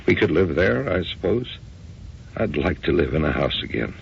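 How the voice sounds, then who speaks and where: An actor in a radio drama playing a staid, matter-of-fact widowed businessman around sixty, brisk and slightly awkward. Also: deep voice, very animated, gravelly, slight background noise, heavily acted. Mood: businesslike. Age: 60s. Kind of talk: old-time radio drama acting. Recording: muffled old radio broadcast recording.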